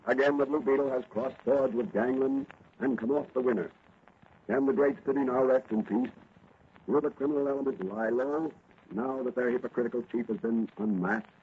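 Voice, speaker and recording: A man's voice: mid-range voice, brisk. Tinny old-time radio serial announcer, male. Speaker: announcer, narrator. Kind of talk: 1940s dramatic radio serial narration. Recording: tinny, faintly noisy old radio recording.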